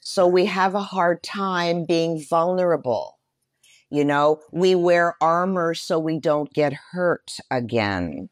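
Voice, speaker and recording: A woman in her sixties speaking candidly and reflectively as an interview guest, with measured emphasis. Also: fairly low pitch, expressive, no room sound, clean studio sound.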